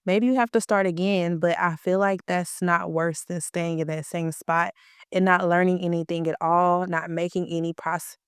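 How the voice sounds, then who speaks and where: A woman's voice: on the low side, fairly even intonation, normal speed, clean tone, no room sound, clean studio sound. A woman in her early thirties hosting a motivational podcast, talking in a chatty, emphatic, slangy way, a little stuffy from a cold. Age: 30s. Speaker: podcast host. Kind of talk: casual motivational podcast talk.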